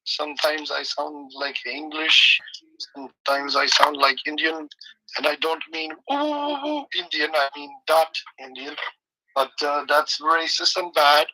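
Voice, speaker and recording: A man speaking in halting, broken English with deadpan humor, rambling as a guest co-host and then guiding a slow meditation. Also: fairly high pitch, poor audio. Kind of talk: rambling comic chat turning to guided meditation.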